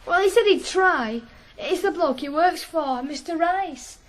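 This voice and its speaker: A man's voice: very high voice, lively intonation, measured pace. A man acting a stubborn, earnest young ploughboy in a radio drama. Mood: earnest, hopeful. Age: young adult. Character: stubborn young ploughboy, devoted to his horses. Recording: noisy old radio broadcast.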